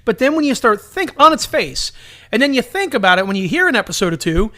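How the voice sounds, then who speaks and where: A man co-hosting a podcast, chatting casually and enthusiastically in an animated, joking way. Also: extremely high pitch, quick pace. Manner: animated, joking, slips into mock announcer lines. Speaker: podcast co-host.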